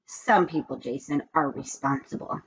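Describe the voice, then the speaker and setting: A woman reading as a brisk, bossy female schoolteacher in a storybook, with a stern and insistent manner. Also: sits low, sing-song, slightly rough, voice pushed down, heavily acted. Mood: pleased. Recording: dull lo-fi recording with background noise.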